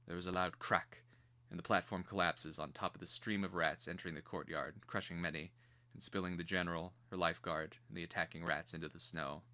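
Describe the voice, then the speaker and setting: A man with a low, forceful voice narrates a dramatic screenplay. He reads deliberately and theatrically, with a grave, crisp-edged tone suited to scripted action. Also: fairly even intonation.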